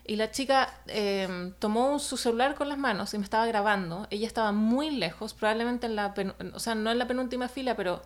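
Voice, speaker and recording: Woman storyteller, slightly high voice, hesitant, lots of fillers, casual chat. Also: a little fast, hardly any pauses. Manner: hesitant, many fillers, laughs while telling. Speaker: illustrator telling a story.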